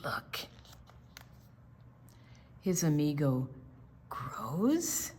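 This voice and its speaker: An older woman reading a picture book aloud to children, expressively, pausing to explain the pictures. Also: low-pitched, very animated, darkish tone, breathy edge. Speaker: storytime reader.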